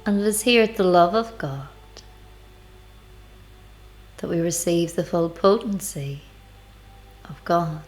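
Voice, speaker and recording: A woman leading a devotional guided meditation, speaking in measured, deliberate phrases with pauses. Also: lowish voice. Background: background music.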